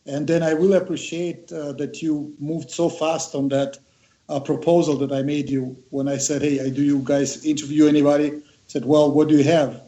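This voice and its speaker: A man's voice: fairly high pitch, little pitch movement, moderate pace, mellow. Russian-born man in an echoey room. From Siberia, Russia. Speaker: guest. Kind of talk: interview.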